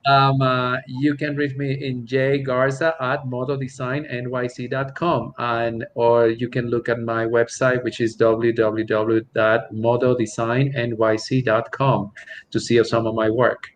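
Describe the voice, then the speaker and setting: A man's voice: mid-range voice, little pitch movement, a little slow. Hesitant man with faint background hiss. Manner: hesitant, lots of fillers and restarts. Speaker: panel guest. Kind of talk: casual online panel chat.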